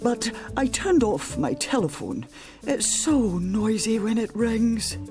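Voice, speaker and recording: A woman voicing a calm, kindly man in a storybook, soothing and puzzled, with music behind. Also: on the high side, takes it slowly, pitched above the performer's own voice.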